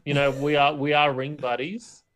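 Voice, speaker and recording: Higher-pitched man chatting casually. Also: flat delivery, moderate pace, fairly bright tone. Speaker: podcast co-host. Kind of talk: casual chat.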